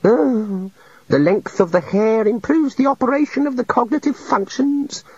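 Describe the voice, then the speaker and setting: A man's voice: extremely high pitch, normal speed, darkish tone, slightly rough, dry recording, highs cut off, voice pushed up, full character voice. A man voicing a meek, gloomy male island god with a sad, fussy manner, in an audiobook reading. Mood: musing.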